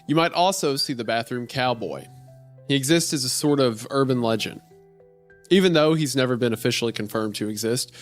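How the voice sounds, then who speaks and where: A man narrating a strange first-person horror-comedy story in a wry, conversational way. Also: medium pitch. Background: faint music.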